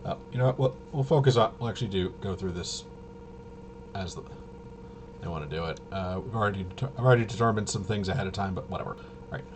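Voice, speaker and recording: A man giving a casual, unscripted walkthrough as a host, rambling and halting, with muttered asides to himself. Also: low voice, background noise. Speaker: host of a tabletop RPG review video.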